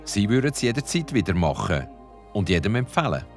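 A man's voice: on the low side, sing-song, fairly quick, faint music behind. A man, narrating a TV retrospective with a light, wry touch. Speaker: show host, narrator. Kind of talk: scripted TV voice-over narration.

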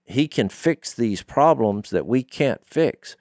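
A middle-aged man talks through scripture as a podcast co-host. He tells it like a story, leaning on key phrases, at a medium pitch. The sound is close and dry. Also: animated, slow pace, darkish tone, no echo. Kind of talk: conversational Bible discussion. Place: small wood-panelled podcast studio. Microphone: studio microphone on boom, close.

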